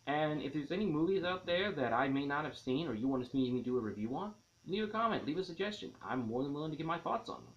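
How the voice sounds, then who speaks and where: A man's voice: fairly high pitch, animated, quick pace. Casual man reviewing a movie, dull lo-fi audio. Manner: enthusiastic, rambling, repeats and rephrases himself.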